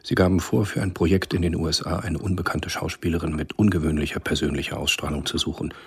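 A male radio-play narrator with a low, extremely husky voice. He reads a scripted thriller in a measured, matter-of-fact tone, every phrase rough-edged. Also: steady tone.

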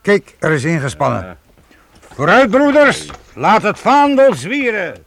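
A man's voice: sits high, huge pitch swings, unhurried, gravelly, a bit bright, exaggerated voice. An actor playing a fatherly, hearty medieval guild master in a radio play, with a folksy, jovial manner. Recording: noisy old radio play recording.

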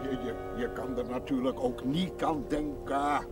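A man's voice: mid-range voice, fairly even intonation, unhurried, lightly acted. An actor playing a jovial, earthy countryman in a television drama, with a folksy, plain-spoken way of talking. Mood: reasoning. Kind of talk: 1970s Dutch television drama acting. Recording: 1970s TV soundtrack, loud music behind.

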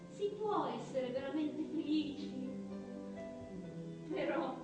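A tender, childlike woman in a stage play, her voice high and slow to unfold. She speaks in wistful, halting phrases, dreamy and simple, heard from a distance in a very reverberant theatre. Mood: wistful, wondering. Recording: very echoey theatre stage from afar, music.

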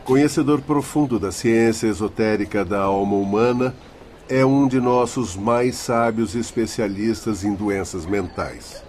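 An actor playing a learned spirit doctor guiding newcomers in a radio drama, speaking formally and instructively with a Brazilian accent. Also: male voice, lowish voice, measured pace, darkish tone, natural voice. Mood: earnest, informative. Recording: faint ambient noise behind.

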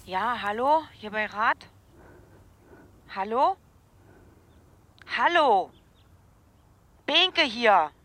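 A sharp, fairly high woman's voice calls slowly into the telephone. She plays someone puzzled and insistent in a radio drama, with swooping intonation. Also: expressive, full character voice. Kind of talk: radio drama phone call.

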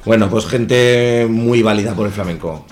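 A man chats in a radio studio as a guest musician. He speaks in a lively, emphatic way. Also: lowish voice, normal speed, soft background music. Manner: lively, emphatic, laughs easily. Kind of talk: casual radio interview.

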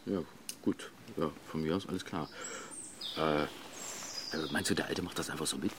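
Deep-voiced, sardonic, weary family man. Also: normal speed, noisy background. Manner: sardonic, colloquial, rambling. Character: weary family father, mocking, talkative brother. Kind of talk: naturalistic German radio drama acting.

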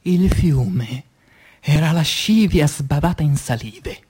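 A man performing a dramatic poetry recitation, declamatory and intense, with dramatic pauses and swings between hushed and forceful delivery. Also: a little high, slightly rough. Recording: voice over music bed. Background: music.

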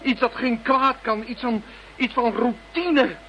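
A tormented man in a radio play, his voice very high and strained. He halts and repeats himself as if holding something off with great effort. The sound is dull, with faint noise. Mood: strained, pleading. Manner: strained, halting, repeating words. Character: tormented man barely holding off a mental intruder.

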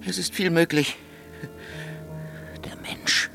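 A poor, brooding soldier in a radio drama. He speaks slowly in a fairly high voice, intense and disjointed like someone haunted, with clear music underneath. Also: fairly even intonation, in a whisper. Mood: bleak, distracted. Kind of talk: German radio drama acting.

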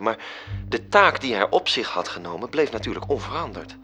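A man narrating a literary radio drama in a measured, serious storytelling manner. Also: on the low side, pushed and bright, full character voice.

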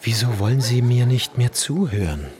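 An actor playing a vain, lovesick young officer in a radio drama, self-important and effusive, theatrical yet insecure. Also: male voice, medium pitch, animated, moderate pace, darkish tone, lightly acted. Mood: pleading.

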